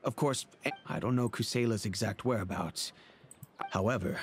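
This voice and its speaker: A man acting a grave middle-aged father in a video game, speaking in a measured, formal and earnest way. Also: on the low side, gravelly, slight background noise. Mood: solemn, regretful. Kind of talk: scripted game dialogue, measured storytelling.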